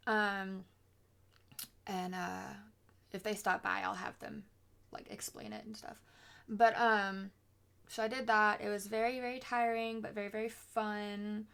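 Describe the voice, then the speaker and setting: A woman streamer with a hoarse, scratchy voice, chatting casually and rambling with lots of fillers. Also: medium pitch, fairly bright tone. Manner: rambling, filler-heavy, mutters to herself.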